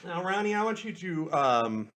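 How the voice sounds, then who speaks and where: A man's voice: high voice, sing-song, normal speed, fairly bright tone. A man hosting a podcast in rambling casual chat, self-deprecating and prone to swearing. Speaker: podcast host.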